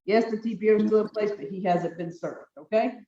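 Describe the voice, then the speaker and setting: Firm deep-voiced woman judge on a call. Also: steady tone, mellow. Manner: firm, brisk, repeats procedural instructions. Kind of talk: presiding over virtual court hearings. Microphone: video call. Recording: echoey, distant, dull video-call audio.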